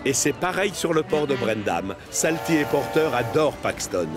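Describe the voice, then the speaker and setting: A man playing a genial storyteller narrates a children's train cartoon in a medium-pitched voice. Music plays clearly behind the narration. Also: big pitch swings, normal speed, natural voice. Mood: genial storytelling.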